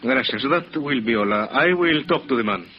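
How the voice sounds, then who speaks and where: An actor playing a stern Mexican police lieutenant, formal and measured, in the style of old radio drama. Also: male voice, medium pitch, expressive, natural voice. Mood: curt, formal. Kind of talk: 1950s radio drama acting. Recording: muffled, hissy old radio recording.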